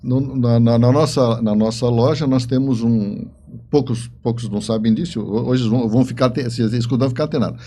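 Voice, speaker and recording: A man with a dark, very relaxed voice at an average pitch. He talks as a guest in conversation, telling stories and repeating short phrases to drive them home. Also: fairly quick, slight background noise. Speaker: interview guest, novelist. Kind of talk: conversational storytelling.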